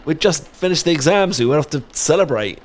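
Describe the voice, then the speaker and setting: A British man playing an affectionate, playful young best friend in an intimate, casual ASMR audio roleplay, chatting and teasing with soft tag questions. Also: a little high, expressive, a little fast. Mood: amused, nostalgic.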